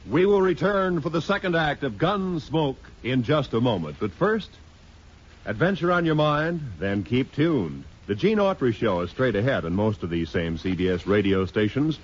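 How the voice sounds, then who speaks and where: A man's voice: mid-range voice, very animated, normal speed. A man with an American accent announcing for a 1950s network radio program. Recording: dull old radio broadcast.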